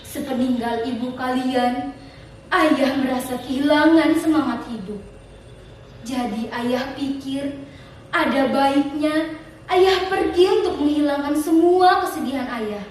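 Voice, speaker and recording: A woman voicing a grieving, gentle old king with measured, solemn, formal speech in a storytelling performance. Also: high-pitched. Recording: distant echoey room with faint noise.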